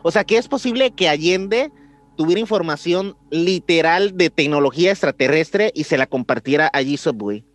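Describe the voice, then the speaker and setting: A man's voice: on the high side, animated, moderate pace, no echo. A young man with a Mexican accent co-hosting a podcast, telling a mystery story in casual, slang-filled chat. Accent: Mexican Spanish. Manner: slangy, says "güey" often, acts out voices in quotes. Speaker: podcast co-host.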